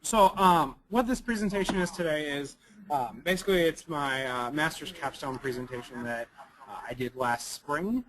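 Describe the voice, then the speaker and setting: Young male presenter, slightly raspy from a cold, halting delivery full of ums and restarts. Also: fairly high pitch, background noise. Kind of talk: recorded conference presentation.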